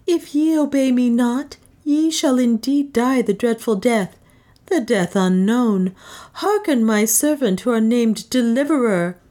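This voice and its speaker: A woman's voice: on the high side, a little slow, mellow, pitched above the performer's own voice, lightly acted. A woman reader voicing a commanding young woman who poses as a goddess, declaiming in an imperious manner.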